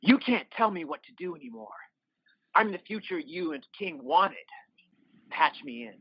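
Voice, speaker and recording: A man's voice: high-pitched, sing-song, normal speed, gravelly, husky, muffled recording, heavily acted. A man playing a menacing, defiant young biker mutated with metal in an audio drama, commanding and taunting.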